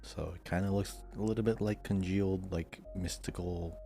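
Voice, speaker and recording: A man's voice: sits low, fairly even intonation, moderate pace, creaky, mellow, soft background music. A man co-hosting a gaming podcast, talking in a casual, conversational way. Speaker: podcast co-host.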